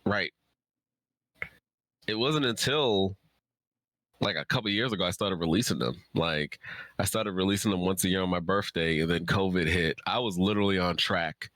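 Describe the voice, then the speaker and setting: A man's voice: low-pitched, fairly bright tone, dry recording. A man in his thirties chatting casually and informally as an author on a livestream Q&A. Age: 30s.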